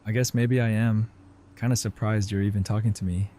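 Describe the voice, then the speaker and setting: A man playing Sadiq, a guilt-ridden former ER resident who talks in a quiet, guarded way in a fan audio drama. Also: on the low side, steady tone, fairly quick, lightly acted.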